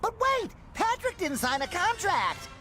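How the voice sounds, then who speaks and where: An actor voicing a childlike, eager and naive cartoon sea sponge in a video game. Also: male voice, very high-pitched, a little slow, loud music behind the voice, heavily acted. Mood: concerned, innocent. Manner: excitable, innocent, earnest. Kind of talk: animated video game cutscene acting.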